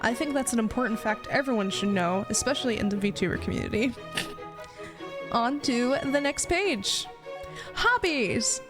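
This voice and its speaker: A young American woman with a fairly high voice chats casually over clearly audible music. She is a native Spanish speaker in her twenties, with a playful, self-deprecating way of introducing herself to viewers. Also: animated, a little slow. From Ohio, United States. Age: 20s. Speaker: VTuber streamer introducing herself.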